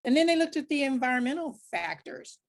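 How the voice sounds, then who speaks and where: A woman's voice: high voice, sing-song, fairly quick. A woman speaking as a panelist, explaining things point by point in plain, conversational terms. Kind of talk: explanatory panel discussion talk.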